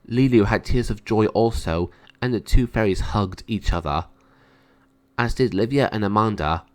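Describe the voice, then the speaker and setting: A man's voice: on the low side, moderate pace. A man reading scripted narration from a children's story aloud. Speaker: storybook reader.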